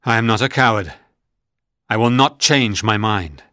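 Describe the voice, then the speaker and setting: A man's voice: on the low side, a little slow, sharp tone, dry recording, clean studio sound. A man reading aloud and voicing a guilt-ridden young scientist who speaks anxiously and defiantly. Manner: anxious, crying out.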